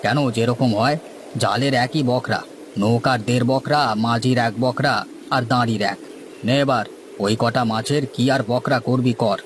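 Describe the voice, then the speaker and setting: A man's voice: medium pitch, steady tone, normal speed, slight background noise, natural voice. A man playing a seasoned village fisherman in a cartoon story, instructing in colloquial rural speech with a cautious, fatalistic manner. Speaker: cartoon voice actor. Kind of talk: dramatised Bengali cartoon story dialogue.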